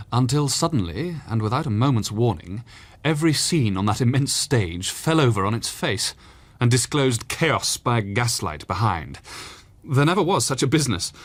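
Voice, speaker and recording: An actor playing an eloquent Victorian storyteller, reading literary passages in a measured, expressive and dramatic way. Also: male voice, mid-range voice, no echo. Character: Charles Dickens, eloquent Victorian storyteller recounting memories. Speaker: reader of Dickens passages.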